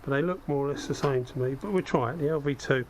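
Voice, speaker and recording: Slightly croaky man demonstrating, noisy background. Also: mid-range voice, fast talker. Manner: conversational, self-deprecating asides, thinking aloud while working. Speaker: hobbyist host demonstrating spray gun equipment. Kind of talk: casual hands-on demonstration. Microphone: head-mounted action camera. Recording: steady background noise from workspace.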